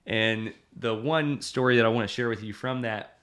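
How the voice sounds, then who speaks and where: A man's voice: medium pitch, moderate pace, a bit bright. A man, a preacher hosting his podcast, chatting and telling a self-deprecating story with lots of fillers. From Tennessee. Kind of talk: casual podcast chat and storytelling.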